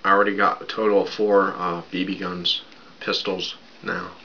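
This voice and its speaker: A raspy man in his thirties rambling casually at his webcam in a small bedroom, with lots of fillers while he drinks. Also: deep voice, little pitch movement, moderate pace. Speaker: vlogger. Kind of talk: casual show-and-tell vlog. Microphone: webcam at desk distance. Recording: dull lo-fi sound with background noise.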